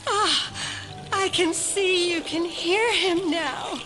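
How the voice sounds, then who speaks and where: An actress playing a menacing, fanatical cultist killer in an audio drama, taunting and condescending. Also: female voice, extremely high pitch, slow pace. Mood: sinister, taunting. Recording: voice under loud dramatic music. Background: loud suspense music.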